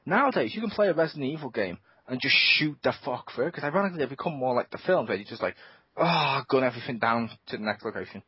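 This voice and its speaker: A man hosting a casual gaming podcast. He talks in an animated way, swears a lot and acts out his own reactions. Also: mid-range voice, quick pace, breathy edge, dry recording. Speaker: podcast host. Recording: dull lo-fi podcast audio.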